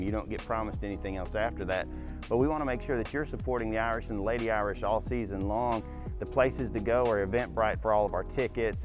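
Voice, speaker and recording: A man hosting a casual sports talk show, relaxed and conversational, plugging games and broadcasts. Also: fairly low pitch. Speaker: podcast host. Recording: clear music in background.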